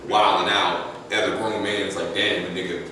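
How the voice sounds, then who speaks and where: A young adult man, a rapper guest, talking casually and slangily on a podcast, his voice jumping up and getting loud when he gets animated. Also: brisk. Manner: laid-back, slangy, climbs high and loud when animated. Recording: echoey room, distant mic, faint noise.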